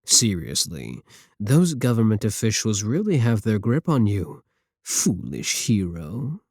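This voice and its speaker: A taunting villain scientist, voiced by a man speaks close to the mic in a low, sarcastic tone. He sounds arrogant and condescending. The recording is dry, with no room sound. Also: very animated, unhurried, darkish tone, no room sound, full character voice. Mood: mocking. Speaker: voice actor in audio roleplay. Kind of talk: ASMR roleplay audio.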